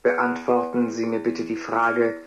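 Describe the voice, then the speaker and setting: A formal, impersonal computer examiner acted by a man in a radio drama. The delivery is measured and official. The sound is dull and band-limited, with loud guitar music. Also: medium pitch, monotone, natural voice. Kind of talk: German radio drama acting.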